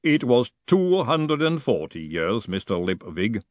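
A man voicing a huge, placid clay golem who speaks slowly and deliberately in a deep rumble. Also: big pitch swings, dry recording. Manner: slow, deep, rumbling, measured and literal. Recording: dull lo-fi recording.

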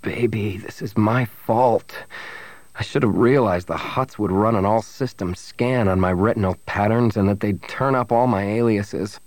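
A man's voice: fairly low pitch, measured pace, a little mellow, no echo, lightly acted. A man voicing a brash young rogue in an audiobook, fierce on the surface and tender underneath. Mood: earnest, tender.

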